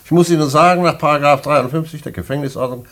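An actor playing a stern prison director in a German radio play, officious, curt and skeptical, with formal bureaucratic phrasing and a husky voice. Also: male voice, a little high, fast, a bit bright, natural voice. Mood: stern, official.

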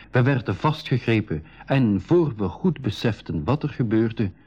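An actor narrating a sea adventure as one who lived it, in a 1980s school radio play. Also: male voice, lowish voice, a little mellow, breathy edge. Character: solemn male narrator recalling his sea adventure. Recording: dull lo-fi 1980s radio recording.

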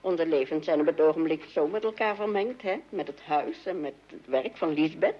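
A woman's voice: sits low, animated, normal speed, husky, highs cut off. A woman playing a middle-aged housewife and mother in a radio play, chattering, fussy and easily distracted. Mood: chatty. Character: chatty, fidgety mother and housewife posing for her son.

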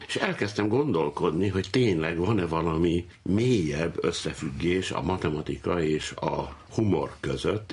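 Male academic guest, very deep and raspy, conversational storytelling for an interview. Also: darkish tone. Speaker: mathematics professor, interview guest. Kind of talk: anecdotal interview talk.

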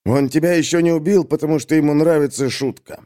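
A man's voice: fairly high pitch, fast talker, warm tone, pitched above the performer's own voice, natural voice. A man voicing a smug, venal art critic in an audiobook, taunting in pompous, articulate phrases. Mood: pompous scorn. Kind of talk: audiobook character acting.

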